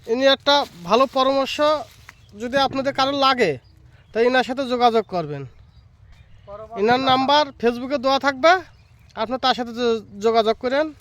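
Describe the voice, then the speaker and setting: High-pitched man presenting an outdoor vlog. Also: a little fast, long pauses, fairly bright tone. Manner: repetitive, addressing viewers directly. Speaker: vlogger, host. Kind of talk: informal vlog presenting to viewers. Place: outdoors in a guava orchard. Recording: outdoor recording with faint background noise.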